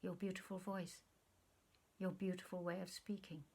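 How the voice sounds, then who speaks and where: A woman reading a storybook part as an earnest, sentimental man who speaks gravely, wistfully and haltingly to a former sweetheart. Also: high-pitched, monotone, darkish tone, exaggerated voice. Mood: warm, teasing.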